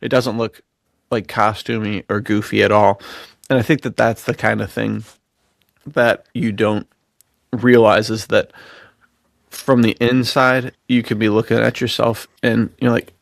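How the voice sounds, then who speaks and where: A man co-hosting a podcast in casual, enthusiastic chat, talking in slangy, excitable bro talk. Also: fairly low pitch, measured pace, creaky, dry recording, studio quality. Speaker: podcast co-host.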